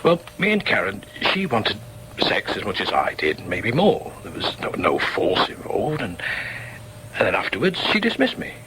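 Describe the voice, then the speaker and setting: A middle-aged Northern English communist father in a radio play. His voice swings expressively in pitch with a forceful edge. He speaks plainly and warily, repeating words as he gropes for them, over faint background noise. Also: huge pitch swings, moderate pace, full character voice, poor audio. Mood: earnest, confiding. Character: earnest working-class father, committed communist trade unionist, wary. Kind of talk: naturalistic radio drama acting, dialogue and inner thoughts.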